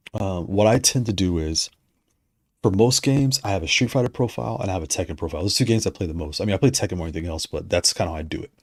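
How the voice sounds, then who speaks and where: A man chatting casually on a livestream, rambling and correcting himself as he goes, his voice going hoarse. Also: deep voice, brisk, long pauses, a bit bright, dry recording. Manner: rambling, self-correcting, conversational. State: losing his voice. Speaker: streamer discussing controllers.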